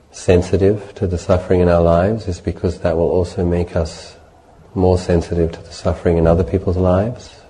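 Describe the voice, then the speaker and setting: A man leading a guided meditation as a Buddhist teacher, speaking in a measured, gentle way with deliberate pauses between short phrases. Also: low-pitched, low-quality recording.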